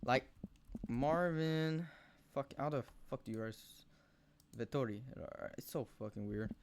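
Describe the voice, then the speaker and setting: A young man with a thick Quebec French accent giving rambling, profane fight predictions on camera, full of fillers and sudden animated outbursts. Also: medium pitch, unhurried, noisy background. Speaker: MMA video host. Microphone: camera mic, close.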